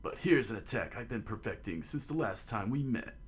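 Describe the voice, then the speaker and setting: A man acting out a bold, cheerful pirate boy from a storybook adventure. The delivery is brash and confident, declaimed in a fairly low voice. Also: animated, fairly quick, husky. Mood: determined.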